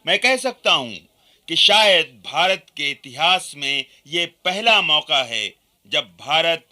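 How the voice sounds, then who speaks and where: An actor playing an earnest, dignified British reformer addressing an assembly in a formal, deliberate radio-play manner. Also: male voice, fairly high pitch, big pitch swings, pushed and bright, full character voice. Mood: formal, proud. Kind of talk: Hindi radio play oratory.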